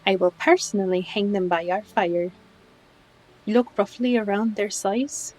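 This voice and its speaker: A woman playing a calm, helpful tavern bartender in a fantasy audio roleplay, speaking politely and in a measured way. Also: mid-range voice, lightly acted, rough recording. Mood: helpful, courteous.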